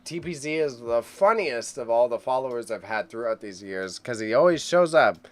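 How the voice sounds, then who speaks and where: A male streamer gives casual, rambling live commentary to his chat in a mid-pitched voice. He is excitable and talkative, with a slight speech impediment on sh and ch sounds. Music plays along with him. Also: moderate pace. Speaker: livestream host reacting to an online auction.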